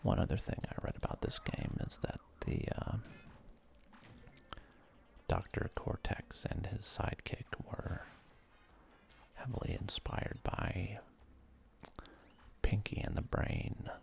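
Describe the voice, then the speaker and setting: A person whispering laid-back, halting commentary over a video game in an ASMR style, as the host of a gaming video. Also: deep voice, fairly even intonation, gravelly.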